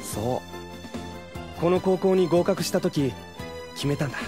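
A woman voicing a hopeful, easily flustered teenage boy in a casual, expressive audio drama style. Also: lowish voice, unhurried, over music, lightly acted. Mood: hopeful narration. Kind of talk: scripted Japanese anime-style audio drama acting.

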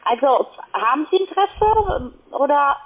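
Casting: woman telesales agent, non-native German speaker, very high pitch, hesitant phrasing, thin telephone sound. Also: big pitch swings, unhurried. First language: not German. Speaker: telemarketing call centre agent. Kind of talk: unscripted sales phone call. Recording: narrow tinny phone line.

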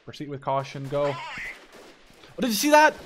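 A man's voice: fairly high pitch, very animated, a little slow, a little hiss or hum. A man hosting a gaming video, commenting casually on gameplay and thinking aloud. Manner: thinks aloud, mild swearing. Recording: gunfire and clicks behind voice. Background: video game gunfire.

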